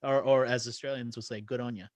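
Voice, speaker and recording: A man's voice: medium pitch, steady tone, fast, dry recording. A man speaking as an interview guest, telling stories in a casual, conversational way.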